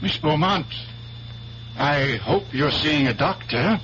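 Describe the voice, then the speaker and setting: An actor playing an earnest, blunt doctor, grave and emphatic, in a 1970s radio drama. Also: male voice, fairly high pitch, expressive, background noise. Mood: urgent concern. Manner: grave, emphatic, deliberate phrasing. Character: earnest, worried doctor, a man, blunt and insistent. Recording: dull, hummy old radio recording.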